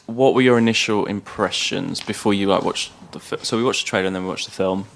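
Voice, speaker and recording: A man's voice: lowish voice, fast, gravelly. Man chatting casually, often chuckling. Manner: chuckles often while talking. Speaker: podcast co-host. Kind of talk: casual chat about films and books.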